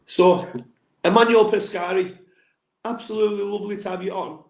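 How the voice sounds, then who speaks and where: A man's voice: high voice, fairly even intonation, normal speed, reverberant, rough recording. A man hosting a podcast and promoting an event, asking questions in a friendly, enthusiastic way. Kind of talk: casual interview chat.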